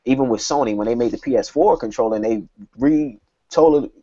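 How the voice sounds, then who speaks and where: A man's voice: medium pitch, fast, dark tone, breathy edge, highs cut off. A man on a tech podcast panel, talking casually and explaining his points emphatically with examples.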